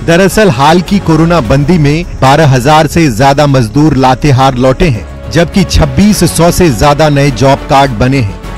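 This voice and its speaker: Higher-voiced man narrating news over soft music. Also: a little slow. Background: faint music bed.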